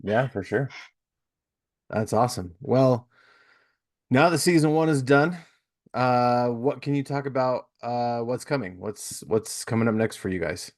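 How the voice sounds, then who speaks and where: A man's voice: mid-range voice, unhurried, darkish tone. A man hosting a casual podcast interview, rambling and searching for words with lots of fillers. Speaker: podcast host.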